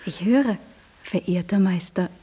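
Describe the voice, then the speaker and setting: An actress playing a forthright, teasing young aristocratic woman with a husky voice in a German radio drama. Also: mid-range voice, a little slow, dark tone. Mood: admiring, spirited.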